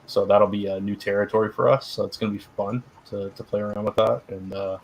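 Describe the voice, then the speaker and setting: A middle-aged man co-hosting a casual live stream, rambling with lots of fillers and restarts, his voice turning hoarse. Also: fairly low pitch, fairly even intonation, brisk, low-quality recording. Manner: rambling, many fillers and restarts, laughs often. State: getting hoarse. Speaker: live stream co-host, publisher.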